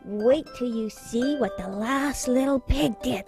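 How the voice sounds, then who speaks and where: A woman's voice: a little high, a little slow, creaky. Eager puppet storyteller, voiced by a woman. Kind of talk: children's puppet show acting. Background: music.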